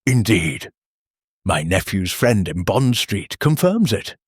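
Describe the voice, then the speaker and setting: Elderly village lady detective, played by a man, calm, cutting, slightly husky, audiobook mystery. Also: on the low side, slow pace, no echo, studio quality, exaggerated voice. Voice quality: lilting. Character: elderly village spinster sleuth, placid, shrewd, relentless.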